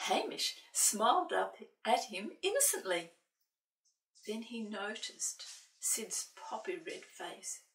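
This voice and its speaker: A woman reading a picture book aloud to children as an expressive storyteller. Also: medium pitch, takes it slowly. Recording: roomy, distant microphone.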